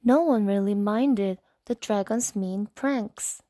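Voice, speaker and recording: A woman gently and expressively reading a storybook aloud in a soft bedtime style. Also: medium pitch, takes it slowly, no echo, studio quality.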